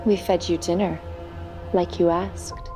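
An actress playing a cool, steely, composed sister in a period audio drama. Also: female voice, fairly low pitch, level tone, a little slow, over music. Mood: coolly defiant. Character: cool, steely, protective sister in 1800s north.

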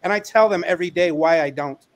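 A middle-aged man co-hosting a panel on a video call, speaking conversationally in an emphatic, deliberate way. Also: high voice. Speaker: co-host, educator.

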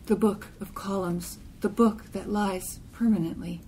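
A woman reading a literary poem aloud in deliberate, clipped phrases. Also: medium pitch, fairly even intonation, mellow, slight background noise, rough recording. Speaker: poetry reader.

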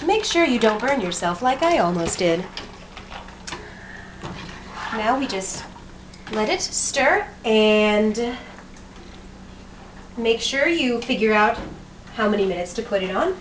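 A woman's voice: on the high side, animated, slow, long pauses. A young woman giving a jokey, chatty cooking demonstration in a small home kitchen, recorded on a handheld camera. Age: young adult. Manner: chatty, jokey, self-mocking, moving around while cooking. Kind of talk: playful how-to narration. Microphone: handheld camera mic, moving. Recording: noisy home camera audio.

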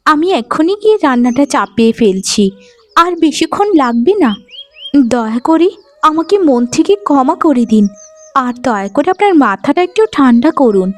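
A woman's voice: high voice, lively intonation, moderate pace. A woman voicing a meek, dutiful young village daughter-in-law in a cartoon, earnest and deferential. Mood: apologetic pleading. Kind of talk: cartoon voice acting. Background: music.

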